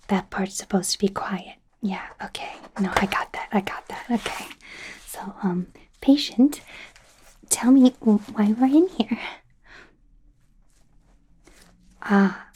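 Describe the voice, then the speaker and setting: A woman voicing a nervous, awkward young female werewolf medical student in a halting, breathy, mostly whispered ASMR roleplay. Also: mid-range voice, mellow, a little hiss or hum, natural voice. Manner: flustered, halting, apologetic, self-coaching mumbles.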